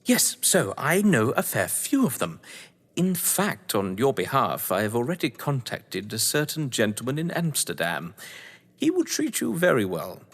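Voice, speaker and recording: An actor playing an urbane, cunning English crime novelist in an audio drama, pompous, condescending and wordy. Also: male voice, medium pitch, wildly swooping pitch, measured pace, fairly bright tone, heavily acted. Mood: smug, persuasive. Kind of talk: theatrical audio drama acting. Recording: echoey hall-like reverb.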